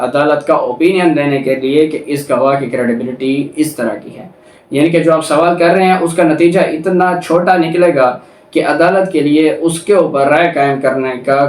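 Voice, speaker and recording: A man with a Pakistani accent giving an explanatory law lecture, didactic and given to rephrasing his points. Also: fairly high pitch. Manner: didactic, repeats and rephrases points, slow deliberate reading of quoted text. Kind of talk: explanatory lecture, reading statute then explaining it. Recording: echoey room, distant microphone.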